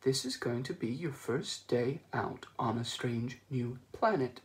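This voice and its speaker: A man's voice: mid-range voice, unhurried, a little hiss or hum, close to an everyday voice. A man doing a fussy, motherly ship computer. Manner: fussy, matronly twang.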